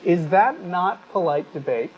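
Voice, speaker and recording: A man with a somewhat high voice delivers commentary as a talk show co-host. He is deliberate and rhetorical, with a sardonic edge. The audio is a little dull and lo-fi. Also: expressive. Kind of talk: opinionated commentary, reading excerpts aloud.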